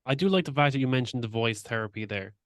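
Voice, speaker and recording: A man's voice: mid-range voice, lively intonation, fast, no room sound, studio quality. A man hosting a podcast, speaking openly and personally as he shares his own experiences at length. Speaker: podcast host. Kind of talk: casual interview talk.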